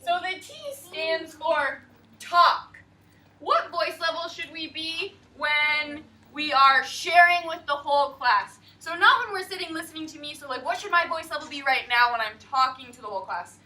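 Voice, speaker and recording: A woman teacher leading a class of young children, slow and emphatic, asking the class questions. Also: high voice, pushed and bright. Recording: distant classroom mic, faint noise.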